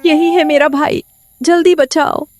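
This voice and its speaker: A wicked witch from a horror tale, acted out by a man, pitched far up from a normal male voice. She is theatrical and gloating, a woman who lures her victims. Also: fairly even intonation, unhurried. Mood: urgent pleading. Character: wicked female witch luring young men, gloating.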